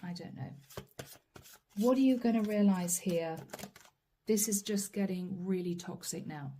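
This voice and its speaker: A woman doing a channeled tarot reading straight to the viewer, earnest and apologetic, her voice going hoarse. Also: medium pitch, a little slow, warm tone, slight background noise. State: losing her voice. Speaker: tarot reader.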